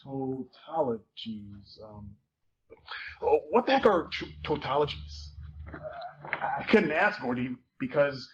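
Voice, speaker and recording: A man's voice: mid-range voice, big pitch swings, slow pace. A man reading as a self-doubting teenage schoolboy narrator, hesitant and conversational. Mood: unsure, wry. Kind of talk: dramatic reading of a first-person novel. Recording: muffled room recording with faint noise.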